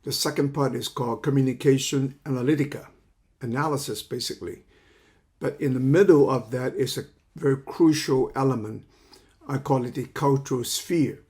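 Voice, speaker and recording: Older man, 70s, halting lecture delivery, repeats words mid-sentence. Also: mid-range voice, a little mellow. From China. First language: Cantonese. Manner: halting, repeats words while searching for phrasing. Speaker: counseling psychology teacher. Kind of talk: lecture introduction.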